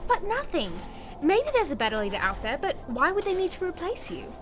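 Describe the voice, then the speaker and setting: A woman's voice: extremely high pitch, very animated, brisk, over music. A woman playing a loyal, teasing young adventurer in an audio drama, with a wry manner. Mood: reassuring, surprised.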